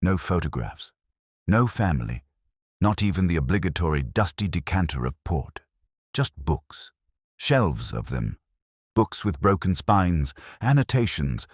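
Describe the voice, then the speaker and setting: Deep male narrator, dry close sound, measured and reflective, lively intonation, scripted mystery read. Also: breathy edge, no room sound.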